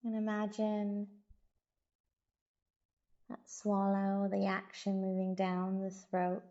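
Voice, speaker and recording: Female meditation guide, soothing and very unhurried, creaky low-key delivery, slightly muffled lo-fi recording. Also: completely flat delivery. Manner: soothing, unhurried, gentle instruction with long pauses. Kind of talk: guided body scan meditation.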